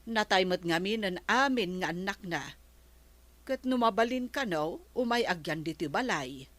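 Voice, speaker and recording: A woman's voice: medium pitch, expressive, normal speed, fairly bright tone, no echo, natural voice. A woman playing a dutiful, patient wife and niece in an audio drama, speaking placatingly with an Ilocano accent. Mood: persuading. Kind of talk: regional audio drama acting.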